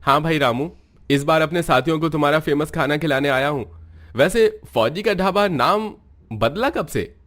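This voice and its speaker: A man playing a proud, warm, patriotic army major in a cartoon moral story. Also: on the high side, normal speed, lightly acted. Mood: proud, friendly.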